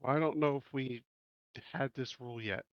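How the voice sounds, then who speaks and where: A man's voice: medium pitch, normal speed, dry recording. A man talking casually as a player in a tabletop role-playing game, on a slightly dull microphone.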